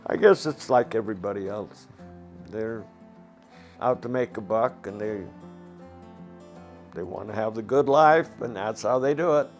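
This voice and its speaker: Older man, raspy, indignant, documentary interview, soft guitar music underneath. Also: on the low side, a little slow, pauses often. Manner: plain-spoken, indignant, rhetorical questions. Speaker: former coal miner interviewee.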